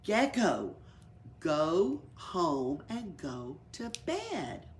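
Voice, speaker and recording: A woman's voice: lowish voice, wildly swooping pitch, extremely slow, slight background noise, exaggerated voice. A woman voicing a male elephant village boss in a storybook, patient and authoritative though weary. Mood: exasperated.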